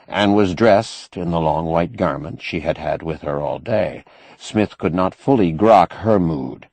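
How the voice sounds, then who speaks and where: A man reading a novel aloud as an audiobook narrator, in an expressive, measured storytelling manner with careful phrasing. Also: low-pitched, creaky, husky, no room sound. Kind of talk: scripted narration of a science fiction novel.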